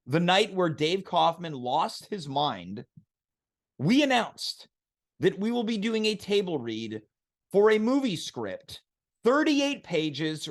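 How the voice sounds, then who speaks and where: A man with a high voice hosts a live online show. His delivery is very animated and announcer-like, leaning on words with theatrical emphasis. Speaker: host.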